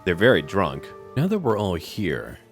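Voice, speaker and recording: A man giving casual let's-play commentary, thinking aloud in a chatty, relaxed way and calling out to his party. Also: low-pitched, measured pace, faint music behind. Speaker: gamer playing a role-playing game.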